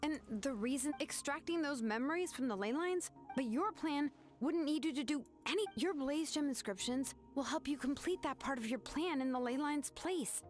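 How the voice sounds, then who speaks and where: A woman's voice: high voice, animated, moderate pace, few pauses. A woman voicing a frank, composed young craftswoman in a video game, matter-of-fact but caring, with music behind her. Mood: probing, composed.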